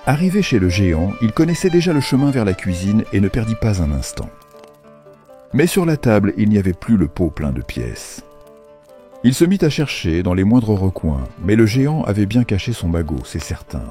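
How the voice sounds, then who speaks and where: A man's voice: on the low side, big pitch swings, moderate pace, mellow. A man narrating a scripted children's fairy tale as storyteller. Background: faint music.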